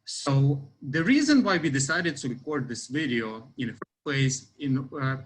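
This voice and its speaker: A man's voice: medium pitch, animated, a little slow, slight background noise, low-quality recording. A man in his thirties from Ukraine with a Ukrainian accent, giving a semi-formal campaign talk in hesitant, roundabout phrasing. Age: 30s. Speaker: union election candidate.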